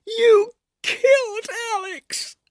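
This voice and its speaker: A man's voice: very high voice, extremely slow, dry recording, studio quality, voice pushed up, full character voice. A man voicing a grieving mother who screams and cries her accusations in fury. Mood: screaming grief. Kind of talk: audiobook character reading.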